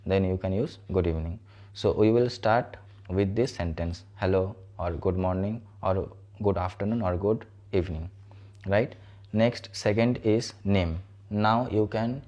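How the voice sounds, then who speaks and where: Man, low and mellow, teacher who checks in with "right?". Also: highs cut off. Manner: explains step by step with frequent "so" and "right?". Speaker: English teacher. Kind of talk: spoken English lesson.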